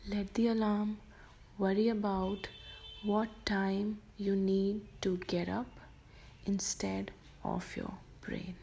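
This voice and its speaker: A woman softly whispering a guided sleep meditation for children, slow and gentle. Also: medium pitch, little pitch movement, gravelly, a little hiss or hum. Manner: soft whispered delivery.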